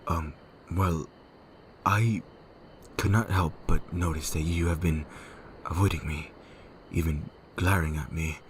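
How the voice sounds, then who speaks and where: A man playing a reserved, courteous lord in an audio drama, speaking formally and haltingly. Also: low-pitched, steady tone, a little hiss or hum. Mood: awkward, hesitant. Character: reserved, courteous male lord, awkward and hesitant in love. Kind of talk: fan audio drama acting.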